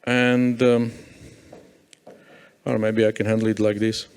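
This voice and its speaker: A man's voice: lowish voice, flat delivery, slow pace, a little mellow. A man giving a conference tech demo, thinking aloud with many fillers and restarts. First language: Slovak. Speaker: conference speaker. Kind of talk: live technical demo talk. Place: conference hall.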